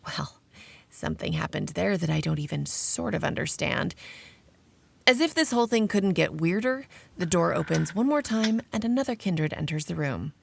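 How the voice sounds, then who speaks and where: Actress as shy female vampire narrator, low gravelly voice, animated pitch, dry wry asides, audio drama. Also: normal speed, heavily acted. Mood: awkward, anxious. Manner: dry, self-deprecating asides. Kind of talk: first-person scripted narration.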